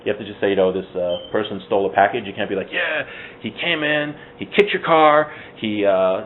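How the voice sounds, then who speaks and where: A man's voice: on the low side, fairly quick. A man giving an informal religious class as its teacher, conversational, explaining texts with everyday examples. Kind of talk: informal religious lecture with Hebrew source readings translated. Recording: muffled phone-like audio with faint background noise.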